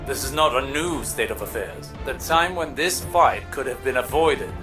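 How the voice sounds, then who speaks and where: A man's voice: mid-range voice, expressive, moderate pace, over music, natural voice. A man playing a composed, blunt confidant in a video game, formal and matter-of-fact. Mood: cool, frank.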